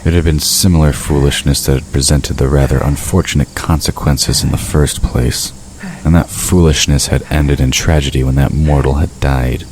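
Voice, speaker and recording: A man's voice: a bass voice, steady tone, normal speed, a little mellow, slight background noise, low-quality recording. A man narrating a fantasy audio drama in a scripted storytelling style.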